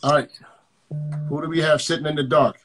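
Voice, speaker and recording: A man's voice: on the high side, fairly even intonation, fairly quick, fairly bright tone, husky, soft background music. A man hosting a live video chat from a hotel room, blunt and joking, often repeating words as he talks. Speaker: coach and live stream host. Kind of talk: casual live stream chat with callers.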